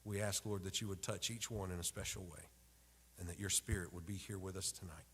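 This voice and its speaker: A man leading a spoken prayer in a church, with an earnest, steady praying cadence. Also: sits low, brisk, breathy edge, long reverb tail. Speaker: prayer leader.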